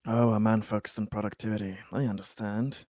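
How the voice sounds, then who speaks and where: A man playing a tabletop roleplaying game, improvising in character and out, with halting, stammering delivery. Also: lowish voice, level tone, a little mellow, dry recording.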